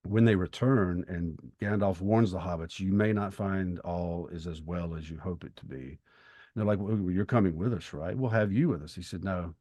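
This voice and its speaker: A man co-hosting a podcast, speaking reflectively and earnestly, with thoughtful, halting phrasing. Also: low voice, dark tone, dry recording. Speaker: podcast co-host. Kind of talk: reflective literary discussion and prayer.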